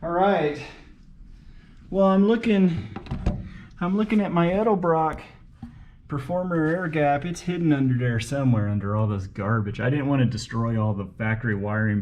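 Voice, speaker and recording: A man's voice: medium pitch, normal speed, a little mellow. Man muttering through work, noisy background. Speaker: home mechanic video host. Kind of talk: casual think-aloud commentary while working on a car. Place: working at a car, single uncut recording.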